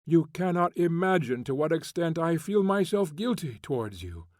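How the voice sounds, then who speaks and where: A male reader voicing a remorseful gentleman prince who pleads earnestly for forgiveness. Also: fairly high pitch, sing-song, normal speed, dry recording, clean studio sound, voice pushed up. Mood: pleading.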